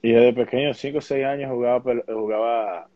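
A young man with a Dominican accent, speaking calmly and measuredly as a baseball player guest in an interview, often restarting his sentences. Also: mid-range voice, no echo. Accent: Dominican Spanish. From Dominican Republic. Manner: calm, measured, with many restarts. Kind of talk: calm conversational interview. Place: small room indoors at home. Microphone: laptop webcam, video call. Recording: dull lo-fi video call audio.

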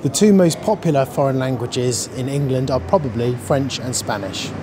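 A man's voice: mid-range voice, normal speed. Young man, brief answers, noisy street. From Ireland. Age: young adult. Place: city street outdoors.

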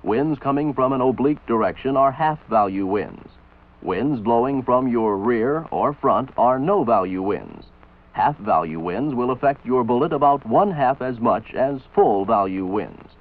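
An American man reading scripted instructional narration for a training film in a measured, authoritative, didactic manner. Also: mid-range voice, lively intonation. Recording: tinny band-limited old film soundtrack. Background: voice-over for an old military training film.

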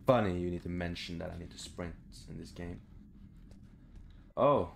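A man's voice: low voice, moderate pace, gravelly, a little hiss or hum. A young man giving casual, sweary game commentary, talking to himself as he reacts. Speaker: gaming YouTuber. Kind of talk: casual horror game let's play commentary. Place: home room, indoors.

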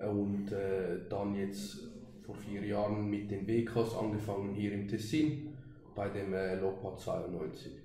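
A young man from Zurich, answering interview questions haltingly with many fillers. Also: lowish voice, dark tone. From Zurich, Switzerland. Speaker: interview guest, soldier. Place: outdoors beside an army vehicle. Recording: very echoey with faint noise.

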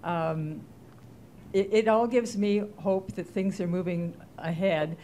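An older woman moderating a conference panel, asking a question haltingly with many fillers into a handheld mic. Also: lowish voice, steady tone, warm tone, a little hiss or hum. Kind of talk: hesitant spoken question to a panel. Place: conference room, seated at panel table.

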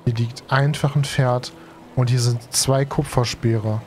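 Chatty young gaming streamer with a cold. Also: male voice, medium pitch, fairly even intonation, unhurried, a little mellow, slight background noise. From Germany. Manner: chatty, slangy, thinks aloud with many fillers. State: has a cold and is tired. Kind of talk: casual let's play commentary. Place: home streaming room. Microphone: headset.

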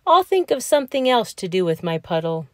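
A woman's voice: mid-range voice, sing-song, a little fast, no echo, clean studio sound, lightly acted. A woman voicing an earnest, stubborn, dreamy young male crocodile from a children's storybook, with emphatic delivery. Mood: stubborn, proud.

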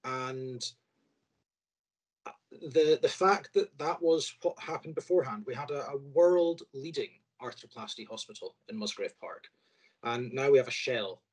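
A man's voice: medium pitch, lively intonation, a little slow, slightly rough. A middle-aged man making an earnest statement as a surgeon in an online meeting. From Scotland. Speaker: orthopaedic surgeon.

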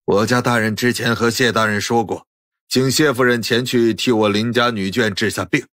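一个男演员在有声剧中扮演古代大户人家恭敬守礼的管家。. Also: male voice, medium pitch, steady tone, moderate pace, a bit bright, dry recording, studio quality, natural voice. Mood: polite, deferential. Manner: formal, deferential. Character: deferential male household steward of ancient China. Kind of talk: audiobook drama acting.

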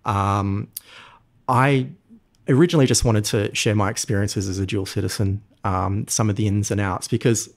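A man's voice: on the low side, fairly even intonation, moderate pace. A man, a podcast guest talking in a friendly, storytelling way with frequent ums. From Australia. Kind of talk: casual interview talk.

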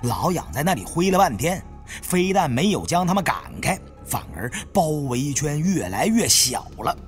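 A man narrating an adventure story in a dramatic, expressive storytelling manner. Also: fairly high pitch, moderate pace, soft background music. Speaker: audiobook narrator.

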